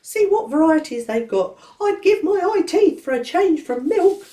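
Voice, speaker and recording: Woman doing a squeaky, know-it-all baby boy. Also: unhurried, reverberant, full character voice. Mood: fed up. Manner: calm, knowing, grown-up phrasing. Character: precocious wise-cracking six-week-old baby boy.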